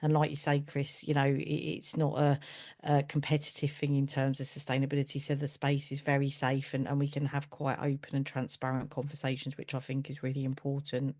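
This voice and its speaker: A woman co-hosting a podcast, speaking in a brisk, matter-of-fact way. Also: a bass voice, completely flat delivery, darkish tone. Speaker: podcast co-host. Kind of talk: conversational podcast interview.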